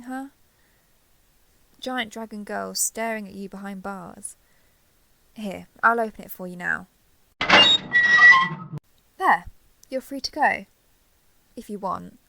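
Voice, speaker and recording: Woman playing a gentle, creaky-voiced giant dragon girl. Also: medium pitch, rough recording. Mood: reassuring, apologetic, then gentle, hopeful. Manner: gentle, reassuring, hesitant. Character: caring, gentle giant dragon girl, college student, slightly possessive. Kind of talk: roleplay audio acting, addressing the listener intimately.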